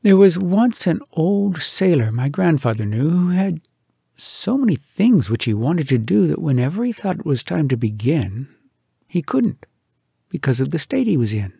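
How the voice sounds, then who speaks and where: A man reads humorous poems aloud as a storyteller, with theatrical, expressive delivery and dramatic pauses. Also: fairly high pitch, creaky, breathy edge, no echo, studio quality. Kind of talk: expressive comic poetry recitation.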